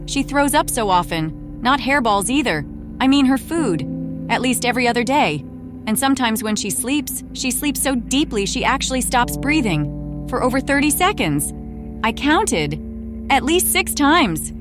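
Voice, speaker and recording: A woman's voice: sits high, expressive, normal speed. A woman playing an anxious, devoted cat owner in a dramatic audio story, pleading and insistent. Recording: voice over prominent music.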